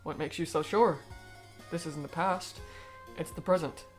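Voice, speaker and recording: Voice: medium pitch, soft background music, natural voice. A performer in a fan dub voicing a cold, aristocratic alien noble who speaks formally and eloquently, bitter yet persuasive. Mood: calm, persuasive. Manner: formal, eloquent, measured speech. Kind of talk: fan-dub voice acting of comic dialogue.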